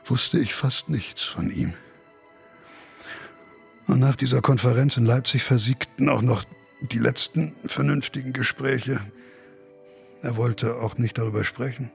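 An actor playing a cynical, weary academic who broods through a sardonic, deliberate monologue in a radio drama. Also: male voice, medium pitch, creaky, lightly acted.

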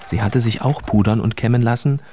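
A man's voice: mid-range voice, brisk, a crowd in the background, lightly acted. A man narrating a children's radio play as a plain storyteller, reading a scripted tale.

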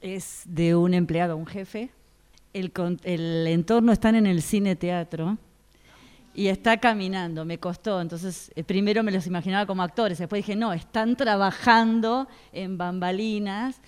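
A woman's voice: medium pitch, fairly even intonation, measured pace, darkish tone, clean tone. A woman informally explaining her acting exercise as a workshop participant, in a reverberant space. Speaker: radio drama workshop participant. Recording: long hall reverb.

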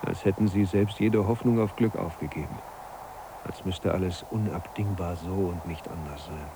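Anxious dreamer archivist, lowish voice, earnest and musing, old radio play off noisy tape. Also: male voice, normal speed. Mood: wistful. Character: anxious, dreamy town archivist longing to escape. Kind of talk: 1980s German radio drama acting.

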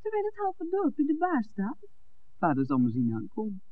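Hesitant innkeeper's daughter in love, vintage radio drama: husky, animated, band-limited sound. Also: female voice, a little high, moderate pace. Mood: brisk, friendly. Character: innkeeper's daughter, hesitant but smitten woman. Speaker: actor in a radio play. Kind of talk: 1960s Dutch radio drama acting. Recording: phone-like, narrow old radio recording.

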